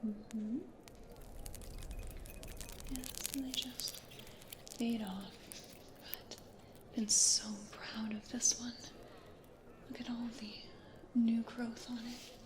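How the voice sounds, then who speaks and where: Woman doing a timid old-time american ghost lady, asmr roleplay, whispery n slow, echoey noisy room. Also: a little high, little pitch movement, a crowd in the background. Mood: tender, proud. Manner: soft, hesitant, breathy murmuring. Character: gentle, anxious plant-loving woman ghost from the 1800s. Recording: roomy echo, audible background noise.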